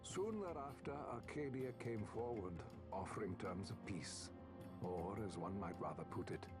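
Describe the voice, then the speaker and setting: A man playing a grave, formal chronicler, narrating a fantasy game's history in measured, ornate phrases. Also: fairly low pitch, expressive, lightly acted. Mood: solemn. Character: grave, formal male chronicler recounting a kingdom's fall. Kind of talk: scripted narration of a fantasy game cutscene. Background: orchestral game music.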